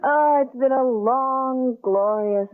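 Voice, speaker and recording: An actress playing an anxious young wife, affectionate but easily frightened, in an expressive old-time radio mystery style. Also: female voice, high voice, slow. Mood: light, chatty. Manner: theatrical, expressive. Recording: narrow-band vintage radio drama recording.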